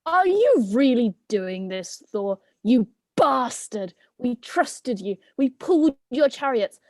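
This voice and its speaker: Woman playing an animated, high-voiced indignant goat. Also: slow, no echo. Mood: outraged. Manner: theatrical, wry. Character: indignant, melodramatic talking goat pulling a god's chariot.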